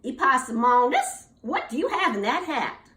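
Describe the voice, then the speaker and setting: A woman voicing an exasperated, scolding mother opossum in a storybook, with drawn-out, dramatic emphasis and repeated admonishments. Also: sits high, sing-song, a bit bright, reverberant, far from the mic, pitched above the performer's own voice.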